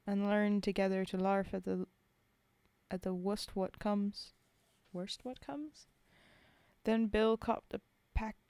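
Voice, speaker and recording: A rough, sentimental common soldier from the trenches, read aloud by a woman, speaks his mourning in folksy working-class dialect. The delivery is slow and even, with a mellow, smooth tone and a dry, close sound. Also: mid-range voice, no echo, close to an everyday voice. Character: rough common male British WWI soldier, grieving comrade. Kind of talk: poetry reading.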